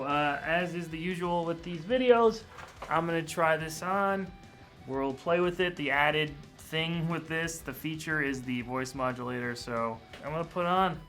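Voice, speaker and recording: A slightly high-voiced man chats through a casual hands-on review. He is candid and a little grumbly about what bothers him. Faint music plays behind him. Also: bright, forceful tone. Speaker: toy reviewer. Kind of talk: casual unboxing and review talk.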